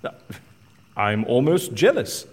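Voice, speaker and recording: An actor playing a curious, wryly theatrical man in an audio drama, husky, expressive and incredulous, with a ready quip. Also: medium pitch, slow pace, in a large hall, exaggerated voice.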